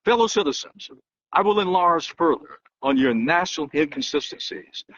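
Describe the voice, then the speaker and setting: A man giving an emphatic, declamatory dramatic reading in the manner of a public orator, with weighty pauses and a husky voice. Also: a little high, expressive, a bit bright, dry recording. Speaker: political analyst and host. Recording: dull, lo-fi muffled sound.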